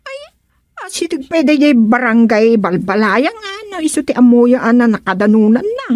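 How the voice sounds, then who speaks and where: A woman playing a chatty, expressive granddaughter in a comic village radio serial. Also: fairly high pitch, moderate pace. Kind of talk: comedic radio serial acting.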